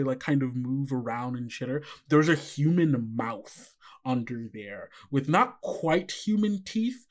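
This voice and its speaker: Male game master, medium pitch, improvised descriptive narration with casual filler-heavy phrasing. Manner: descriptive, frequently hedging with "like".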